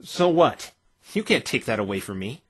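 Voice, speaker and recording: A man playing an earnest, flustered young defence lawyer in a visual novel fan dub, expressive and incredulous. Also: medium pitch, normal speed. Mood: alarmed, defiant.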